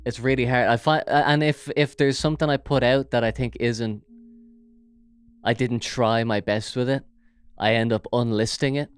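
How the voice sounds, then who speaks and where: Irish male podcast host, mid-pitched, smooth clear delivery, rambling, jokey chat. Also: fairly even intonation, normal speed, soft background music. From Ireland. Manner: rambling and jokey, with frequent fillers. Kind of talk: casual solo podcast chat. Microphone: big studio microphone.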